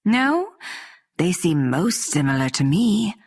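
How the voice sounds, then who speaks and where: An actress playing a poised, probing dark elf priestess in a video game, speaking in a measured, questioning manner. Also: female voice, on the low side, big pitch swings, slightly rough, full character voice. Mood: cool, probing.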